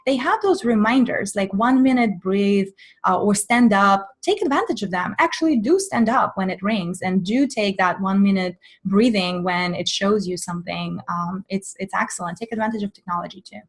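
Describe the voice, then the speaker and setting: A woman's voice: medium pitch, normal speed. A woman from Russia's Far East with a Russian accent, a mindfulness coach answering webinar questions in a direct, practical, instructive way. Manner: direct, practical advice-giving.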